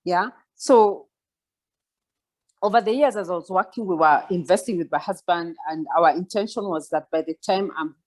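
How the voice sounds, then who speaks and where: A woman in her forties speaks in a fairly low voice, mentoring a group over a video call. She talks reflectively, pausing and restarting often as she works through her thoughts and checks that her listeners are following. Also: darkish tone, poor audio. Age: 40s. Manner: reflective, many fillers and restarts, asks listeners to follow along. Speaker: guest speaker.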